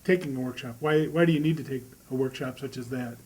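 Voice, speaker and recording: A man's voice: mid-range voice, fast talker, darkish tone, poor audio. A man interviewing a guest, putting his questions in a conversational style.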